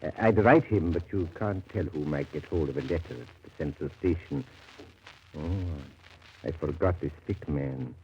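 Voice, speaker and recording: An actor playing a furtive, hesitant colonial company agent, halting and confiding, with a husky voice in a radio drama. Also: male voice, extremely low pitch, mellow, a little hiss or hum. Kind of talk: 1930s radio drama acting. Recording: dull, lo-fi 1930s radio recording.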